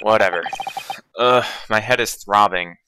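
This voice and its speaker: A man's voice: on the low side, measured pace, noisy background. A young man playing a video game, reading the characters' lines aloud in playful, theatrical voices. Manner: playful, theatrical line reads. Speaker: let's-play host reading dialogue aloud. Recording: game music underneath. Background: game music.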